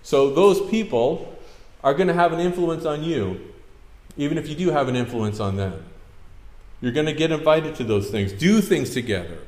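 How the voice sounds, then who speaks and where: Canadian pastor preaching in an echoey hall. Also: male voice, on the high side, expressive, normal speed, a little mellow. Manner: conversational, asks the congregation questions. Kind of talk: sermon. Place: church hall with a projector screen. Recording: roomy hall echo.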